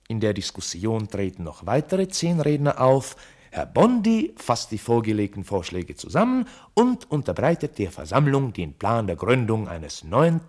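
Radio drama narrator: formal minute-taker's delivery, on the deep side, highly expressive pitch movement. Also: male voice.

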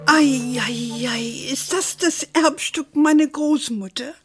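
An old grandmother talks slowly and takes her time. She is gentle and a little wily, telling family stories as in a children's radio play, with music underneath. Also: high-pitched. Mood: wry, soothing. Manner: unhurried storyteller, sprinkles in English endearments.

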